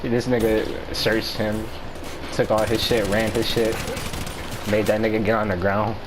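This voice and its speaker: An American man with a low voice tells a story in a casual, conversational way. His delivery is halting, slangy and profane. There is clear noise behind him. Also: level tone. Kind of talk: casual storytelling chat among friends.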